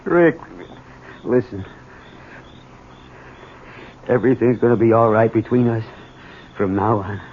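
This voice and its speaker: A man's voice: lowish voice, a little slow, pauses often, close to an everyday voice. An actor playing an anxious, honest young son in a 1970s radio drama, stammering and repeating his words with emotion. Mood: soothing. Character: anxious, earnest grown son, honest working Joe. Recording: dull, faintly noisy 1970s radio recording.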